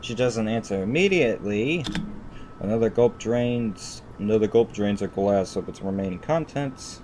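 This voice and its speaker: A man hosting a gaming video, reading visual novel narration aloud haltingly and repeating phrases. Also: fairly low pitch, expressive, a little mellow, a little hiss or hum.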